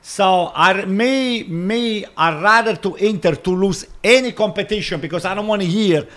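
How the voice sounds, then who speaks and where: A man who grew up in Italy, speaking English. His voice sits a little high, and he argues insistently in a casual chat, circling back over his words with plenty of uh's and restarts. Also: fairly bright tone. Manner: insistent, repeats himself, halting with many fillers. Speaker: co-host. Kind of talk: heated casual debate.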